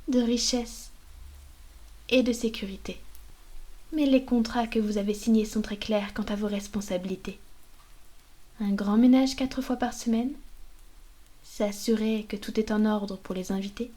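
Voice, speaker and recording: A woman's voice: a little high, mellow. A woman playing a haughty, menacing vampire mistress in a scripted ASMR roleplay, speaking in a poised, formal, condescending way. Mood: cold authority.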